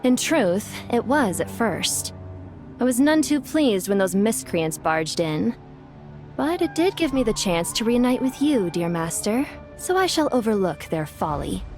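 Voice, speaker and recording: A regal, ancient female spirit of the desert acted by a woman, with a medium-pitched voice. She speaks in lofty, formal phrases as a devoted but proud servant in a fantasy game. Music plays clearly behind her. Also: close to an everyday voice. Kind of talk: dramatic video game voice acting.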